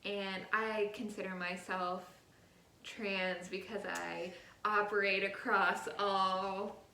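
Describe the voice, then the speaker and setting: A woman's voice: mid-range voice, flat delivery, slow, pushed and bright. A young woman in casual, chatty conversation about books, speaking informally with lots of filler words. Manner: informal, chatty, heavy use of "like". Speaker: book reviewer co-hosting a book tag chat. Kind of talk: casual chat. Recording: roomy echo, some background noise.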